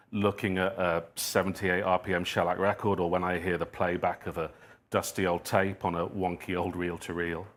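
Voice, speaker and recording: A man giving a prepared talk, telling stories reflectively with wry, personal asides. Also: lowish voice. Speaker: speaker giving a talk, writer of plays.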